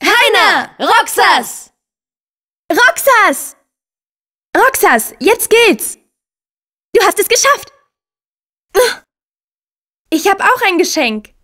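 A woman playing a friendly, upbeat teenage girl in a dubbed video game, with an earnest, expressive delivery. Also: very high voice, extremely slow, long pauses, exaggerated voice. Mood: excited, cheering. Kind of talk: German video game dubbing.

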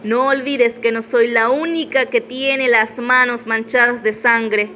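A woman's voice: on the high side, steady tone, unhurried, bright, forceful tone, slight background noise. A woman playing a defiant, scheming widow in a radio soap opera, taunting and dramatic. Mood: bitter, accusing.

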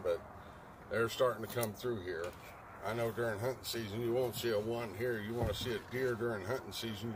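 A man talks riders through an outdoor tourist train ride, folksy and wry, cracking small jokes between warnings. His voice sits a little away from the mic. Loud engine and outdoor noise runs underneath. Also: mid-range voice, far from the mic. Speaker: tour train operator. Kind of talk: joking tour guide patter.

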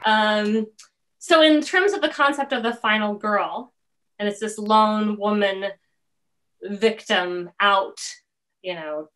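A middle-aged woman hosting a casual interview over video call, thinking aloud in a halting way. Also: mid-range voice, a bit bright. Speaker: interviewer and host.

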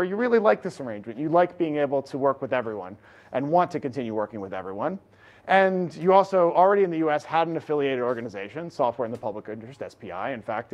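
A middle-aged man giving a conference talk from slides in a large lecture hall, projecting loudly and energetically. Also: on the high side. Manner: energetic, loud, projecting without amplification. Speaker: conference speaker. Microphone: room recording mic, no lapel.

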